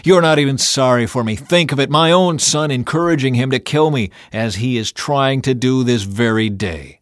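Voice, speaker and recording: A man's voice: lowish voice, fairly quick, no room sound, natural voice. Wanted: male narrator as a furious, suspicious monarch for scripture audiobook, barking demands in a dry recording. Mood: bitter, accusing. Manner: forceful, demanding, shouted delivery. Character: angry, paranoid, commanding king accusing his men. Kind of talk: dramatised Bible audiobook.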